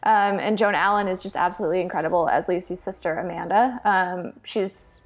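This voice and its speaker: A woman critic talking casually on a panel, pausing to find words and then stressing them. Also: fairly low pitch. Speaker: panel guest, entertainment critic. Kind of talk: casual review discussion.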